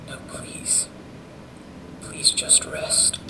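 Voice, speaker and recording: A woman playing a solemn officer in a horror game, hushed, grave and reassuring. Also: very slow, whispered, low-quality recording. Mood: hushed reassurance. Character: solemn officer comforting a dying mother. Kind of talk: horror visual novel voice acting.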